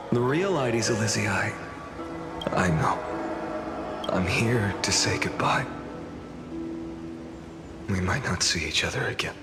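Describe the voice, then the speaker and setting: A young game hero, solemn and resolute. He speaks very slowly in a low, gravelly voice with a breathy edge, weighing each phrase wistfully over a clear music bed. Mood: quiet, resigned. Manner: measured, earnest, heavy with pauses. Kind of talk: dramatic video game voice acting. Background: music behind the voice.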